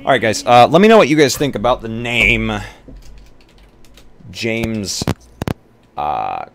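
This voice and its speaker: A man's voice: mid-range voice, very animated, slow, gravelly, noisy background. A man chatting casually with stream viewers and a co-host in an easygoing, informal, joking way. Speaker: live-stream roleplay player.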